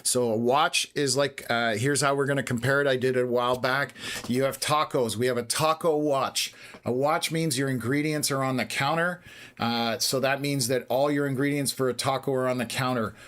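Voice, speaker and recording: A man hosting a weather livestream from Canada. He answers viewer questions in a casual, unhurried way with many filler words. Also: mid-range voice, fairly even intonation, fairly bright tone.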